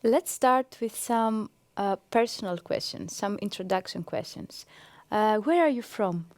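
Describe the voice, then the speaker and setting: A woman's voice: mid-range voice, lively intonation, a little slow, darkish tone, dry recording. A woman hosting an academic interview, asking short friendly questions. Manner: friendly, brief prompting questions. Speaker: interview host.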